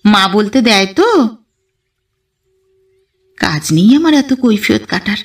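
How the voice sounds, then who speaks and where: A woman narrates a literary tale in the first person, taking her time over each phrase. Her delivery is expressive and dramatic, with a medium-pitched voice. She sounds set a little back from the microphone. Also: takes it slowly, recorded from a distance. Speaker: audio story narrator.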